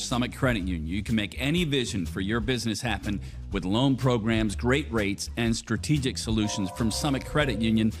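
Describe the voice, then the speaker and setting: A man co-hosting a podcast, thanking the guest and reading sponsor messages in an upbeat promotional way. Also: fairly low pitch. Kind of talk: sponsor reads and outro. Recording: music playing underneath. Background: music.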